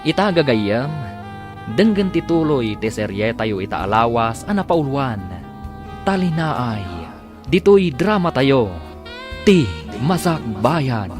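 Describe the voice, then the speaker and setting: Male Ilocano-accented announcer for scripted radio drama, mid-pitched, laid over clear instrumental music. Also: very animated, takes it slowly, long pauses. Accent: Ilocano, northern Philippines. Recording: music bed under the voice.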